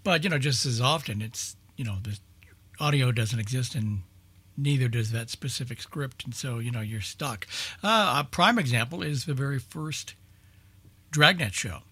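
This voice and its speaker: A man talks informally in an easygoing exchange. His voice is husky and mid-pitched, recorded dry with very little room around it. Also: moderate pace, fairly bright tone, no room sound. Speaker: old-time radio show participant discussing lost shows. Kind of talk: casual chat.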